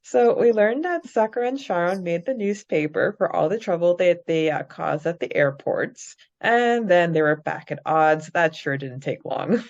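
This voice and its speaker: A woman with a fairly low voice chats casually as a podcast co-host, recapping a story. Her delivery is giggly and informal. The recording is a little dull and lo-fi. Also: expressive, moderate pace, creaky, few pauses. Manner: giggly, chatty. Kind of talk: casual book-club style recap chat.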